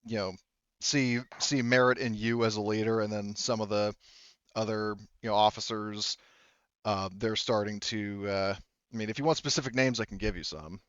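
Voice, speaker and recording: A man with a low, smooth voice acts as game master for a tabletop session. He improvises in a loose, conversational way, working out the story as he speaks. The sound is dry and slightly dull. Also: steady tone, moderate pace, a bit bright, no echo.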